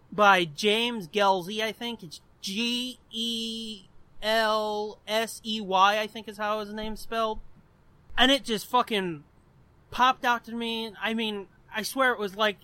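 A man's voice: sits high, sharp tone, smooth, no room sound. An American man in his twenties hosting a podcast, chatting casually and telling personal stories in a rambling, profanity-laced way. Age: 20s. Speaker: podcast host.

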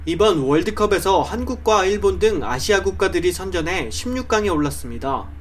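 A man's voice: high-pitched, expressive, fairly quick, a little hiss or hum. A man hosting a news program and asking an interviewee questions in a formal tone.

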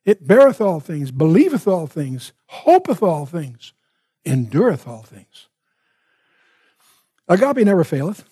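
A man teaching from the Bible in an expository style, with emphatic, deliberate phrasing and off-the-cuff asides. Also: fairly high pitch, very animated, warm tone, husky, no echo, clean studio sound.

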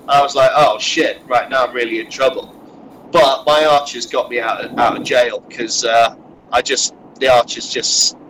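A man's voice: mid-range voice, a bit bright, poor audio. Man, nasal cold voice, rambling casual chat, faint road noise, recorded in a car. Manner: rambling, filler-heavy, with frequent restarts. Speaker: podcast co-host recounting a wargaming tournament. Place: in a moving car.

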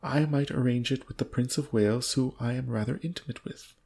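Guy doing a snooty earl from a storybook, pompous and genteel, creaky raspy voice. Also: medium pitch, fairly quick, a little mellow. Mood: breezy, boastful. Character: lofty, complacent English earl, casually boastful aristocrat. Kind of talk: storybook read aloud.

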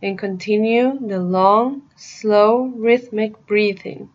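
A woman narrating a guided meditation in a soothing, deliberate manner, pausing between short phrases. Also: medium pitch, fairly even intonation, muffled recording.

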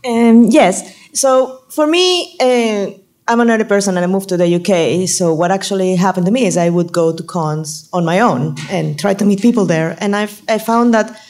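Audience member, woman, earnest and measured question. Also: medium pitch, darkish tone.

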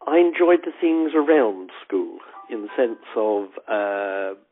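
Older English man with a cold, raspy. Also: lowish voice, lively intonation, takes it slowly, soft background music. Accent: English, Hertfordshire. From England. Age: 70s. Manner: chuckling, self-deprecating storyteller. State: has a cold. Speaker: guest actor. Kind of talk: relaxed podcast conversation. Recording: phone-like remote call audio.

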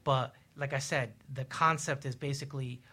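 A man speaks as a panelist in a discussion show. His voice is husky and sits at an average pitch. He explains in a measured, didactic manner, working through examples. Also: steady tone, pushed and bright.

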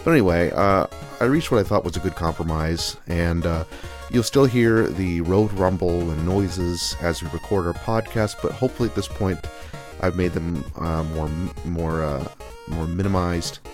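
A man's voice: deep voice, normal speed, music in the background. A man giving a casual spoken introduction as a podcast host.

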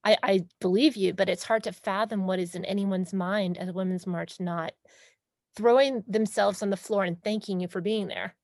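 A woman's voice: mid-range voice, fairly even intonation, normal speed, darkish tone, dry recording, clean studio sound. A woman from Staten Island, hosting an interview over a video call with an enthusiastic manner. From Staten Island, USA. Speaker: host. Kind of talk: interview chat.

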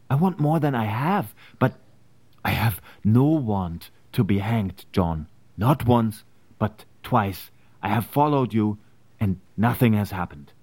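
A man acting as a weary, doubtful but loyal immigrant conspirator in an 1860s period drama, speaking earnestly and deliberately. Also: mid-range voice, darkish tone. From Prussia (character).

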